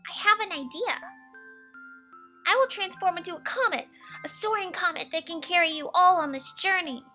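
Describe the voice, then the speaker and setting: Storybook star child, voiced by a woman: small, excitable, devoted, high bright voice, light music behind. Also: moderate pace. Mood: excited.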